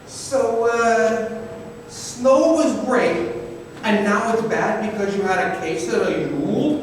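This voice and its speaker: A man playing a skeptical, deadpan, wisecracking listener in an old time radio drama. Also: high voice, slow pace. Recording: echoey hall, distant mic, faint noise.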